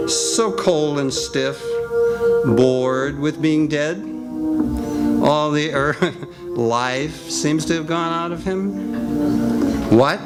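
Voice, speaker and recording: An older man playing a slow, ghoulish horror host. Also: a little high. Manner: slow, drawn-out, relishing dark puns. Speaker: stage radio actor. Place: senior center stage.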